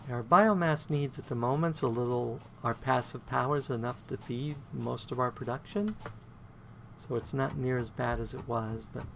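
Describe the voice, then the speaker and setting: A man giving casual gameplay commentary on his own play, a bit croaky today, counting items aloud and humming to himself. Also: medium pitch, moderate pace, a little hiss or hum. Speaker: game streamer.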